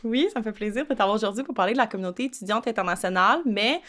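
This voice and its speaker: Quick-talking woman podcast host. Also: medium pitch, a bit bright. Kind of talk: casual podcast interview.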